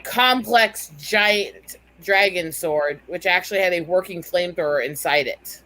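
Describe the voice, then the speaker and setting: Emphatic American woman with a lowish voice. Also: a little slow, bright, forceful tone, a little hiss or hum. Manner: emphatic, freely swearing. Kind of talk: casual chat.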